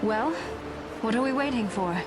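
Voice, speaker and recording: A woman's voice: on the high side, moderate pace, close to an everyday voice. An actress playing a proud, resolute young noblewoman and rebel in a video game, speaking in a formal, clipped, haughty manner. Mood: haughty, determined. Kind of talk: dramatic video game voice acting. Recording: music behind the voice.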